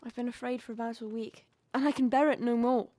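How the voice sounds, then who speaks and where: A woman's voice: on the high side, fairly even intonation, quick pace, warm tone, no echo, lightly acted. A woman playing a loyal, frightened servant in a radio drama, deferential but urgent and jittery. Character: frightened, loyal female servant, fierce when pressed.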